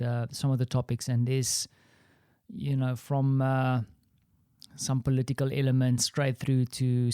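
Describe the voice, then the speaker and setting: Afrikaans-accented guy musing alone on his podcast, warm mellow tone, dry little office sound, self-deprecating. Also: mid-range voice, dry recording. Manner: rambling, self-deprecating, reflective. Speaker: podcast host. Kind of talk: solo podcast monologue. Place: small home office.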